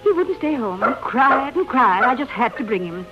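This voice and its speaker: A woman's voice: a little high, very animated, normal speed. An actress in a 1930s radio drama playing a gushing, theatrical society woman who dotes on her pet, effusive and breezy. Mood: gushing apology. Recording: dull 1930s radio recording with music.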